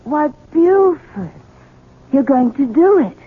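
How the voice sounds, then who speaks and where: A woman's voice: high voice, sing-song, slow, full character voice. An actress playing a demanding, vain and stubborn Southern woman in a radio drama, with an imperious manner. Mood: imperious, curious. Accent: Southern American. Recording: narrow, muffled old radio broadcast.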